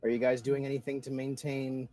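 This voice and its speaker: A man's voice: medium pitch, little pitch movement, brisk, warm tone, no echo. A man narrating a tabletop roleplaying game as game master, informally describing scenes and questioning the players.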